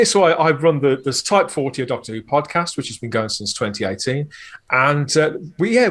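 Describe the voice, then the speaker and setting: Chatty, enthusiastic man. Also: fairly high pitch. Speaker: podcast host and producer, guest. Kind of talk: conversational interview talk.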